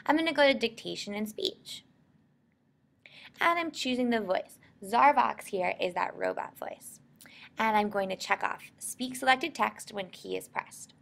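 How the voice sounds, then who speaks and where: An American woman narrating a software tutorial in an informal, explanatory way. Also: fairly high pitch, a little slow, vocal fry. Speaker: software instructor.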